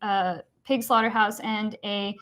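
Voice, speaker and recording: A woman's voice: medium pitch, steady tone, measured pace, fairly bright tone. A woman from Costa Rica arguing the vegan side in an online debate, explaining earnestly with frequent fillers.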